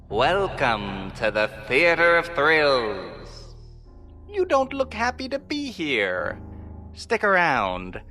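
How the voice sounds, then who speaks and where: Ghostly theater-of-horror host, acted by a man: bright, lively pitch, hammy and teasing, faint music underneath. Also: heavily acted. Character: ghostly nameless male horror-theater host, punning and mischievous. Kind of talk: theatrical horror anthology introduction.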